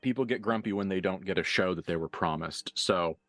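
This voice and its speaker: A man working as game master, improvising narration for a tabletop roleplaying game with deliberate, halting phrasing and dramatic emphasis. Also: low voice, a bit bright, dry recording. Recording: dry voice over background music.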